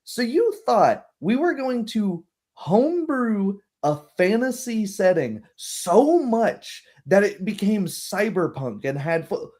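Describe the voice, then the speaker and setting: A man talking casually and opinionatedly as a streamer hosting a tabletop game group, with animated, ranting delivery. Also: high-pitched, slow, hardly any pauses.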